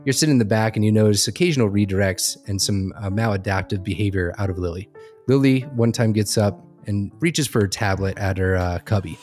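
A man's voice: lowish voice, fairly quick, darkish tone, faint music behind. A man narrating a training story in the second person, as a storyteller setting a scene.

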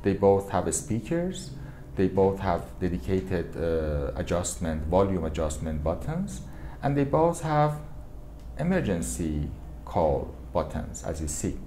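Man, soft dark tone, lively intonation, halting product walkthrough, explanatory, faint background noise. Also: sits low. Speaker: product demonstrator. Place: indoor room with equipment demo wall.

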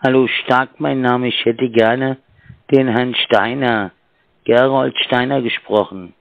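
A man with a rough, gravelly voice pushes a sales pitch over the phone. He stumbles and restarts, padding his sentences with fillers. The line is tinny and completely dry. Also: fairly even intonation, no echo. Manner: halting, fumbling with fillers. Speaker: scam caller. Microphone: telephone.

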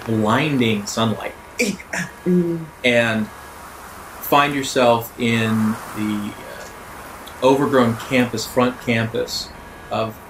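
Slow, halting man narrating a game. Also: on the low side, noisy background. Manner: halting, descriptive, pausing mid-phrase. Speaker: game master. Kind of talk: improvised tabletop game narration. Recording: background music under voice.

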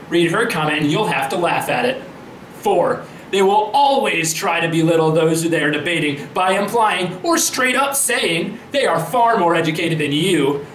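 Man playing high-pitched, theatrical ranting politician. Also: a bit bright. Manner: theatrical, emphatic delivery. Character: indignant, folksy, self-righteous male conservative politician ranting. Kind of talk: dramatic reading of social media posts. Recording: roomy echo, faint background noise.

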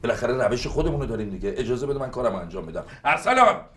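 An actor playing a harried father who shouts and grumbles at his family. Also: mid-range voice, expressive, recorded from a distance, natural voice. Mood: defensive. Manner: shouting, exasperated, then muttering under breath. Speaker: father of a runaway child. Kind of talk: TV family drama acting.

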